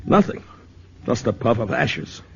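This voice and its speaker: An actor playing a flustered male army officer who reports in rapid bursts, in a 1950s radio drama. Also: medium pitch, lively intonation, creaky, a little hiss or hum. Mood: shaken. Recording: dull old radio broadcast.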